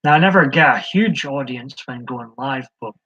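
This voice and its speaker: A man's voice: medium pitch, normal speed, pushed and bright, husky. A man from Scotland hosting a live stream, chatting in a rambling, hesitant way with many ums. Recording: slightly dull lo-fi stream audio.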